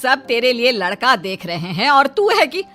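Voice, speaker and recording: A woman's voice: on the high side, sing-song, normal speed, a bit bright, faint music behind. A woman playing an exasperated female relative in a family cartoon, chiding and earnest. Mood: nagging, exasperated. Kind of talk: Hindi cartoon dialogue.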